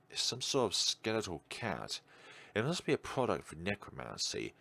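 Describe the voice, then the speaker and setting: A man's voice: lowish voice, fairly bright tone. A man doing playthrough commentary on a visual novel, chatting in rambling asides and reading the story aloud. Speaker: let's player narrating a visual novel. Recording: background music under voice. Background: game music.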